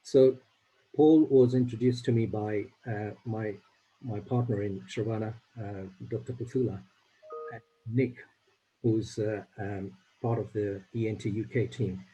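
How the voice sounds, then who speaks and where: Man, from Uganda, Swahili native, charity trustee, low voice, very dark tone, halting, video call. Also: steady tone, a little hiss or hum, rough recording. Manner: halting, repeats words while searching. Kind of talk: informal remarks on a video call meeting.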